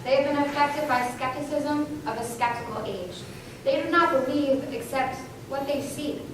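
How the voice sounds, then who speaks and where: A woman's voice: sits high, normal speed. A woman giving a declamatory scripted recitation as a readers theater performer. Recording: echoey hall, distant mic, noisy.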